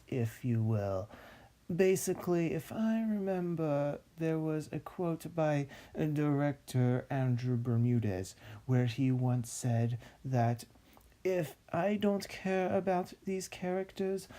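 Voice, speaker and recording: Snobbish, droning man acting a stuffy narrator, dark-toned. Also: fairly high pitch. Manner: snobbish, pompous, fussy. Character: snobbish, self-deprecating stuffy narrator of cancelled film scripts. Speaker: series host and narrator. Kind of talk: scripted narration.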